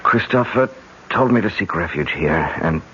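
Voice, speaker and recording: Serious professor on the run, deep voice, muffled old radio drama. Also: male voice, animated, normal speed, pushed and bright, a little hiss or hum. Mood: urgent, earnest. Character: earnest American college professor on the run, wary. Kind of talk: 1970s radio drama acting.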